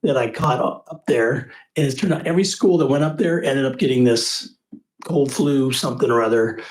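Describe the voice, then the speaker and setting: A hoarse man with a cold, a school board trustee giving a rambling, folksy report at a board meeting. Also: mid-range voice, normal speed, rough recording. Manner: rambling, folksy, many uh fillers.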